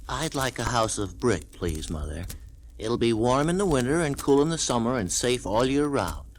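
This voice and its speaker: A man's voice: medium pitch, lively intonation, moderate pace, natural voice. An actor playing a sensible, polite, earnest boy piglet on a 1960s children's storybook record.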